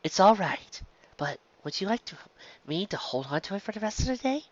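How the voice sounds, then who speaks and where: A woman voicing a kindly pony schoolteacher, patient and concerned, with a gentle, measured manner in a story reading. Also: medium pitch, sing-song, dry recording, dull audio, pitched above the performer's own voice. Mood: reassuring.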